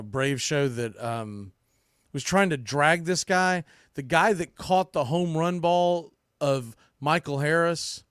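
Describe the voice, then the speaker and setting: A man's voice: on the high side, fairly bright tone, no room sound, studio quality. A man hosting a sports podcast, giving a blunt, opinionated recap with emphatic repetition and mild swearing. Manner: blunt, ranting, mildly profane; emphatic, repeats phrases for punch. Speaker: podcast host, sports commentator.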